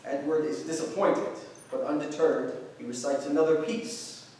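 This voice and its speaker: A man's voice: a little high, fairly even intonation, normal speed, darkish tone. A man reads scripted stage directions aloud as the narrator of a staged reading. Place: large echoey room, live staged reading. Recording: very echoey room, distant mic, faint noise.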